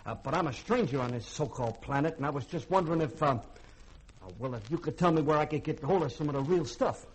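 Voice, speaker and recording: Husky, folksy ship's cook. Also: male voice, fairly high pitch, expressive, fast, poor audio. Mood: sly, wheedling. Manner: folksy, hesitant, blustery. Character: folksy, wheedling ship's cook who loves his bourbon. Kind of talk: 1950s radio drama acting.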